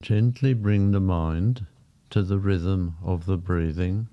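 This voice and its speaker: A man guiding a meditation in a gentle, unhurried manner, leaving long pauses between short phrases. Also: deep voice, steady tone. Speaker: meditation guide. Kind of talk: guided meditation, softly spoken. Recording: dull, muffled lo-fi sound. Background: background music.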